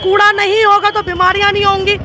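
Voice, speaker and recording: A hoarse woman party worker speaking emphatically in a street interview, asking rhetorical questions. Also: very high-pitched, little pitch movement, quick pace, a crowd in the background.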